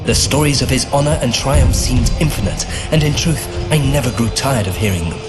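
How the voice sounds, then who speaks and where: Earnest Templar knight, played by a man, under loud music. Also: medium pitch, a little fast, natural voice. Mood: solemn, reflective. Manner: solemn, formal storytelling. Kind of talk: dramatic scripted game narration and dialogue.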